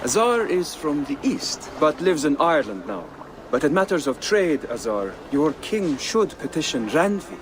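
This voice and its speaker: A man's voice: fairly high pitch, very animated, normal speed. An actor playing a practical, diplomatic Viking settlement man in a video game, polite and explanatory. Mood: welcoming, businesslike. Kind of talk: video game cutscene acting. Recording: noisy outdoor waterside ambience.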